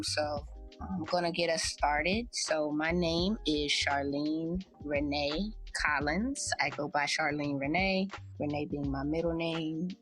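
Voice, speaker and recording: A woman in her thirties, a podcast host, telling her life story in a relaxed, chatty, reflective way. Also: sits low. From United States. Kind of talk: casual personal storytelling.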